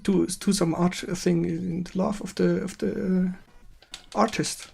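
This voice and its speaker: A woman with a German accent and German as first language, hosting a casual livestream interview in halting, giggly English as she searches for words. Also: lowish voice, fairly even intonation, vocal fry, dark tone, slight background noise. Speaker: stream host.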